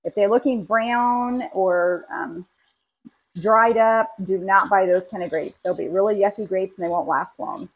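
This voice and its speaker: A woman's voice: medium pitch, a little slow, dull audio. A woman, chatting practically as she preps food in her home kitchen. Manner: friendly, practical, talks while working. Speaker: cooking channel host. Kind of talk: casual kitchen how-to.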